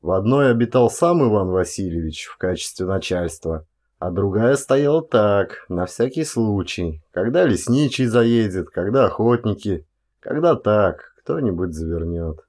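A man narrating a literary short story as a dramatized audiobook, in a measured, expressive way. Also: lowish voice.

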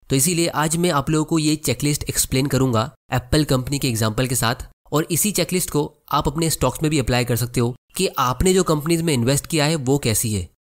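A man narrating a scripted explainer about analyzing stocks, who addresses viewers as friends and reads checklist questions slowly. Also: medium pitch. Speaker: finance educator.

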